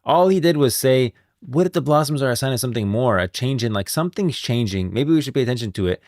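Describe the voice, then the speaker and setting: A man's voice: medium pitch, expressive, fast talker, a little mellow, no room sound, clean studio sound. A man hosting a livestream with casual, emphatic and opinionated commentary on game lore. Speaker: livestream host.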